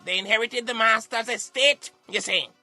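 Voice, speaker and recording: Very high, creaky questioning radio sidekick played by a man. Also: moderate pace, pushed and bright, no echo, soft background music, exaggerated voice. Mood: conceding.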